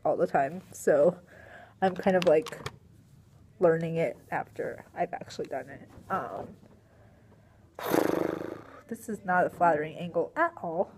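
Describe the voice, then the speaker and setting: A sleepy young woman talks to her laptop camera from a small classroom, her voice a touch low and a little worn from teaching. She rambles in a loose, filler-filled way, sighing now and then. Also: slow pace, long pauses, darkish tone, a little hiss or hum. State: tired and sleepy; strained voice. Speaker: middle school science teacher. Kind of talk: casual video diary. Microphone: laptop webcam at desk.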